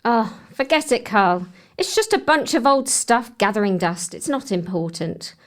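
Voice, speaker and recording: A woman's voice: fairly high pitch, sing-song, a little slow. A woman playing a caring, down-to-earth mother in an audio drama. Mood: breezy, caring.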